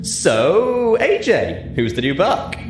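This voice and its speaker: A man's voice: high-pitched, sing-song, slow, roomy sound, music in the background, voice pushed up, exaggerated voice. A man voicing a brash, boastful, tipsy female pegasus pony in an audiobook-style reading, full of giggly bravado. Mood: teasing, giddy.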